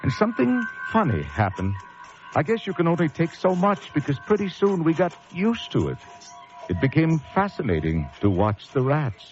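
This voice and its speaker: A man's voice: fairly high pitch, huge pitch swings, normal speed, a little mellow, dull audio, faint music behind. An actor playing a haunted lighthouse keeper, telling his story of horror with theatrical intensity in an old-time suspense radio drama. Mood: grim, weary.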